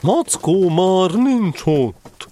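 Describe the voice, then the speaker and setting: A man's voice: high voice, big pitch swings, dark tone, a little hiss or hum. A man playing a pompous, long-winded owl who hoots and hums condescendingly in an audio drama. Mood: hesitant. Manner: pompous, wordy, hooting and humming.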